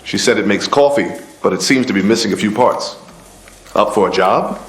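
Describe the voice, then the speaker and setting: Guy acting easygoing trader dude in a video game, lowish voice, wry, echoey with noise behind. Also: moderate pace, natural voice. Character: easygoing, charming male trader giving errands. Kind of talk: scripted video game dialogue.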